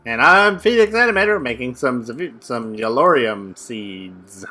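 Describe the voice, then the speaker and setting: A man co-hosting a gameplay video, chatting upbeat and quick while signing off. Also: mid-range voice, lively intonation, bright, forceful tone, faint music behind. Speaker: gameplay channel co-host. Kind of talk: casual gameplay commentary.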